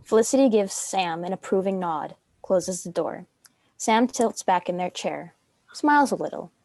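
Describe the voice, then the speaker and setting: A woman's voice: fairly low pitch, lively intonation, normal speed, creaky, darkish tone, no room sound. A woman reading stage directions as scripted narration for a dramatic script reading.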